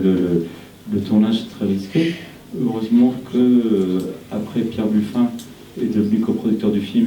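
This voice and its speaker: A film director from Argentina, whose first language is Spanish, speaking in an interview with many restarts and repeated words. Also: male voice, on the low side, mellow. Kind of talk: interview conversation. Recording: echoey room, distant mic, faint noise.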